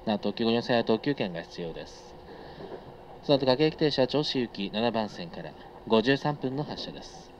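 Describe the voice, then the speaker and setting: A man with a mid-pitched voice makes formal conductor announcements in a routine listing delivery. The train PA sounds dull, with faint vehicle noise around him. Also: lively intonation, moderate pace. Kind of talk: live onboard transfer announcement. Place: inside a moving train.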